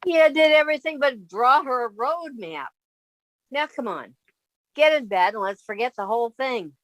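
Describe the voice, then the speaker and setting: A woman's voice: high-pitched, animated, normal speed. A woman playing a sensible, exasperated young roommate in a 1950s-style radio comedy. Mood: exasperated, reassuring.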